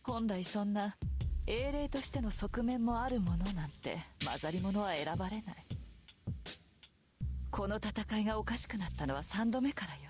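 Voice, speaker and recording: A woman's voice: mid-range voice, animated, fast, lightly acted. Woman doing cold bossy witch, japanese visual novel style, composed, music going behind her. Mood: cool, explanatory. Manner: imperious, composed. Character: cold, commanding witch sorceress, weary schemer.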